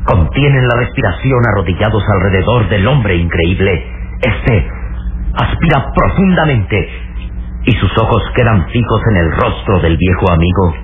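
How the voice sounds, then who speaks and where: A man giving solemn, measured dramatic narration for an old radio serial. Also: fairly low pitch, lively intonation, slight background noise, lightly acted. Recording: tinny vintage radio, music behind.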